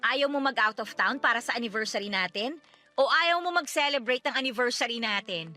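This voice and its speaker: A woman's voice: a little high, animated, a little fast, pushed and bright, faint music behind. A woman with a Filipino accent playing a talkative, stubborn wife in her thirties in a radio drama, chatty and nagging. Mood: annoyed, questioning.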